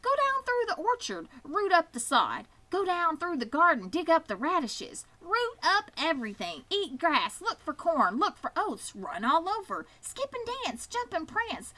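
A bossy, excitable goose who chatters fast and says everything twice, put on by a woman reading a storybook. Her voice jumps high and sounds bright and sharp. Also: full character voice. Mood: excited. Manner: repeats words, chattering quickly.

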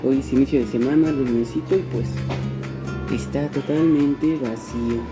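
A man's voice: medium pitch, steady tone, moderate pace. A man with a Mexican accent chatting casually to his viewers as a motovlog host, full of informal street slang. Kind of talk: casual vlog chat, slangy. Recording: loud music over the voice.

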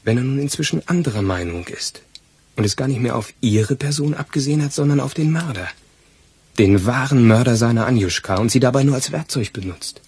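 A man's voice: medium pitch, expressive, fairly quick, a little mellow, slight background noise, lightly acted. An actor playing an eager, deferential police detective who spins wordy theories to his boss in a German radio crime play. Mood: eagerly explaining.

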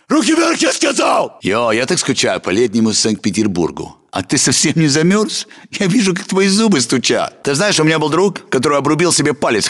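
A man's voice: fairly high pitch, a bit bright, breathy edge, full character voice. A man playing a Russian soldier guarding a base in a military video game. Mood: aggressive shouting.

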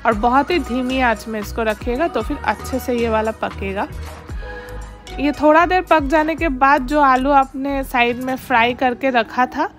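High-voiced woman narrating a cooking recipe. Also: measured pace, soft background music. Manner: instructive, steady, mixes English words into Hindi. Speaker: home cooking channel host. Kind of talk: step-by-step cooking voice-over.

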